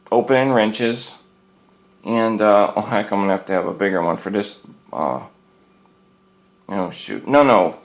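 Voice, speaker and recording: A man giving a casual, unscripted product review as a hobbyist, rambling in a folksy way and circling back over his points. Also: mid-range voice. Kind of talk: casual unscripted show-and-tell.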